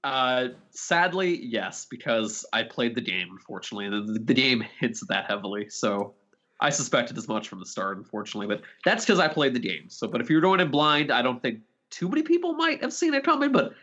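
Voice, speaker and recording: A man's voice: medium pitch, very animated, fairly quick, sharp tone, highs cut off. A man co-hosting a podcast, chatting informally about a film and swearing casually. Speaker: podcast co-host.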